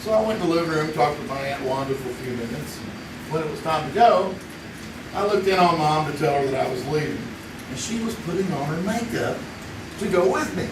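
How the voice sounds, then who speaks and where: A chatty middle-aged man, played by an actor tells a warm family anecdote and laughs easily. His voice is slightly raised in pitch. He is heard from afar in a roomy hall with audience noise. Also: lively intonation, a little fast, close to an everyday voice. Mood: amused. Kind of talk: live radio play on stage. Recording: echoey stage recording from far off, with audience noise.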